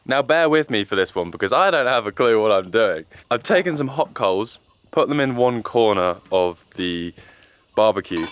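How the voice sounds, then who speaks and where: A man's voice: medium pitch, fairly bright tone. A young man vlogging from a snowy mountain cabin, chatting casually and joking about his own lack of skill while holding the camera at arm's length. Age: young adult. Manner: chatty, self-deprecating, explains as he goes. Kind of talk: casual vlog narration. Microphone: handheld camera at arm's length.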